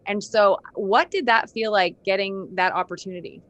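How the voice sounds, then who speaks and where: A woman's voice: medium pitch, fairly even intonation, normal speed, a bit bright, dull audio. A woman with a Canadian accent hosting a conversational radio interview in a chatty, effusive way. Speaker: radio show host.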